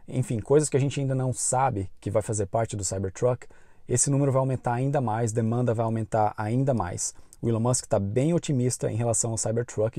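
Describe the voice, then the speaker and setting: A man with a Brazilian Portuguese accent, hosting a channel and giving informative, measured news commentary in an explanatory way. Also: mid-range voice, warm tone, dry recording, studio quality. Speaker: YouTube channel host.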